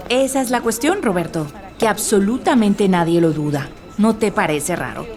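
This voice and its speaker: A woman's voice: mid-range voice, animated, normal speed, a little mellow, soft background music, lightly acted. A woman playing a determined, skeptical investigative reporter, polite and persistent.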